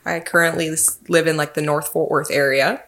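Woman, Texan accent, very low voice, earnest, friendly, police officer guest. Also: fairly even intonation, brisk, fairly bright tone. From Texas. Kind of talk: interview answers.

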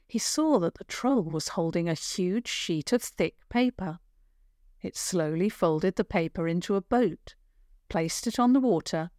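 Female narrator for children's stories, unhurried careful delivery, medium pitch, dry sound. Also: a little mellow, no echo. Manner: measured, careful storytelling. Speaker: storyteller. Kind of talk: scripted children's story narration.

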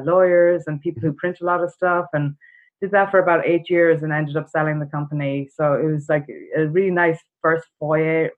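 Irish woman, even-toned, on dull call audio. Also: sits low. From Ireland. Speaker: podcast guest, entrepreneur. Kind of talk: casual interview storytelling.

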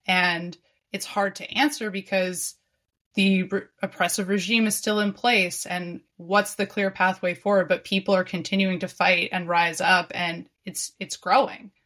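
Low-voiced woman host, reflective. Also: level tone, a little slow, fairly bright tone. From Canada. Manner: reflective, searching for words. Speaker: podcast host. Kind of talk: casual conversational interview.

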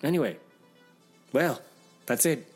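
Animated, slow-paced man telling fairy tales. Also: medium pitch, slightly rough. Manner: dramatic pauses, playful asides. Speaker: story reader. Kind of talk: animated fairy-tale storytelling for children. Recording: music behind the voice.

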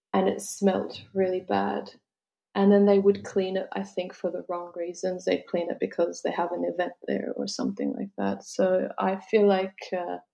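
Gravelly, mellow-voiced woman from Lebanon. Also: mid-range voice, muffled recording. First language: French. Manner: thoughtful, many restarts and fillers. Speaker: travel concierge. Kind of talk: interview answers.

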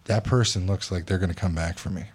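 A man's voice: low-pitched, fairly even intonation, fast talker, husky. A man hosting a casual podcast, chatting bluntly and reading news posts aloud. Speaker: podcast host.

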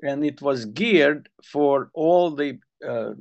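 A man's voice: on the high side, slow, vocal fry, darkish tone, highs cut off. Older Hungarian-accented man telling stories. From Ukrainian Soviet Republic. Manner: rambling storyteller, repeats words when restarting. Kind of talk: casual chat.

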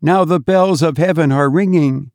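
A man voicing a gentle young boy's spirit who speaks tenderly and pleads with his mother, as part of a storybook reading. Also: fairly high pitch, moderate pace, no room sound, pitched above the performer's own voice, lightly acted. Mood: tender pleading. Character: gentle young boy spirit, sweet and loving.

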